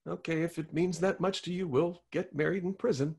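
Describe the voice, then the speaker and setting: A performer at a comedy table read playing a meek, hesitant romantic fiancé, with a husky voice and a halting, unsure manner. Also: medium pitch, fairly even intonation, crowd noise, close to an everyday voice. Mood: reluctant.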